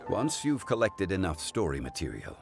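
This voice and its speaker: A composed, dignified gentleman scholar for an anime game. His low voice has a gravelly creak and very expressive swings, with courteous, refined, measured phrasing. Music plays clearly behind him. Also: exaggerated voice. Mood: calm, gracious.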